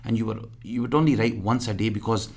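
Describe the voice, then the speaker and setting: A man in his fifties from Pakistan, reminiscing in an interview in a halting way full of fillers. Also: fairly low pitch, gravelly, darkish tone. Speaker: guest.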